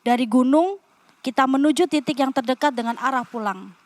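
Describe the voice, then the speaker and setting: A brisk, commanding young spy leader, voiced by a woman for a children's puppet play. The voice sits high and stays upbeat while she gives orders to the team. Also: no room sound, natural voice. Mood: bossy. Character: bossy young spy who leads the team. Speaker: puppet voice actor.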